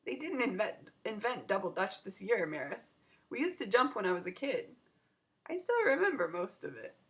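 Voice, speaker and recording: A woman's voice: mid-range voice, big pitch swings, moderate pace, a bit bright. A woman reading the part of a playful, reassuring mother in a children's story, encouraging and teasing. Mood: cheerful.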